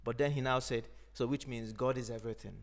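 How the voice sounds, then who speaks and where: Gravelly-voiced man, thoughtful. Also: mid-range voice, steady tone. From Nigeria. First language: Igbo. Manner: thoughtful, unhurried, frequent "you know". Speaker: podcast host. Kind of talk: reflective conversation.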